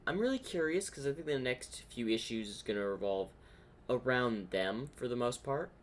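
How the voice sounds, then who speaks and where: A man hosting a reaction video, giving casual commentary and thinking aloud in a halting way. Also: medium pitch, expressive.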